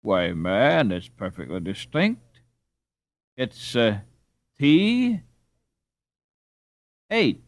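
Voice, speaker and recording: A man's voice: medium pitch, huge pitch swings, drawn-out words, long pauses, dry recording, heavily acted. A man voicing a confident young man in a storybook, self-assured yet hesitant as he speaks. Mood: puzzled.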